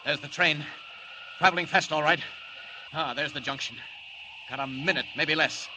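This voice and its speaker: Male actor: brisk, assured superhero lead, moderately high voice, 1940s radio adventure, noisy recording. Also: lightly acted. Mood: determined. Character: confident, brisk heroic male reporter and secret superhero. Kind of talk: 1940s radio serial acting.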